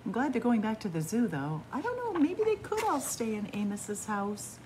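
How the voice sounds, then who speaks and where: A woman reading a picture book aloud expressively, with deliberate phrasing and dramatic pauses. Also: on the high side, wildly swooping pitch, a little mellow, slight background noise, low-quality recording. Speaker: librarian reading picture books aloud to children. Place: indoors in a library room with bookshelves.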